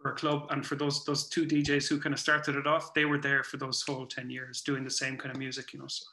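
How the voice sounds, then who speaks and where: A man in his forties with a Cork Irish accent, chatting reflectively as an interview guest and often circling back to rephrase in a halting way. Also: mid-range voice, completely flat delivery, bright, forceful tone, a little hiss or hum. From Cork, Ireland. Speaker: guest, playwright and performer. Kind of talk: casual reflective interview chat. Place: small room at home, indoors. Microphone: computer mic on a video call. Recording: roomy video-call sound.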